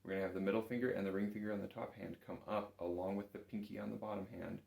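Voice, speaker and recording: A man's voice: on the low side, flat delivery, rapid-fire, gravelly, darkish tone. A man giving a patient, careful step-by-step music lesson as an instructor, recorded in an echoey room. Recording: roomy, echoing room sound.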